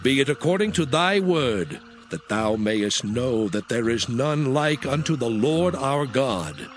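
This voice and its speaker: Man acting Moses, a stern, slow, sweeping prophet. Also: mid-range voice, expressive, slight background noise, natural voice. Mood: solemn. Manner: solemn, emphatic declamation. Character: Moses, stern commanding prophet delivering God's warnings. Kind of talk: dramatized scripture acting.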